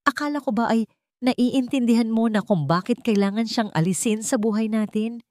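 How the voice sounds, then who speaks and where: A woman's voice: fairly high pitch, moderate pace, a little mellow, a little hiss or hum, pitched above the performer's own voice, close to an everyday voice. A woman voicing a wealthy, domineering older mother in a story, cutting and scornful. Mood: contemptuous.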